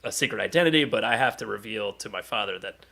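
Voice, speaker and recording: Bright-voiced man with a creaky edge. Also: fairly low pitch, expressive, quick pace. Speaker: podcast co-host. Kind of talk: casual enthusiastic pop-culture chat.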